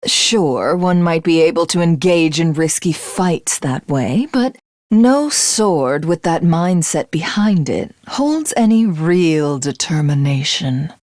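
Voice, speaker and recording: Game villainess: proud alien supreme commander, imperious and measured, mid-pitched with animated intonation, unhurried delivery. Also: female voice, no room sound, studio quality. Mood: calm, philosophical. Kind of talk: video game character voice acting.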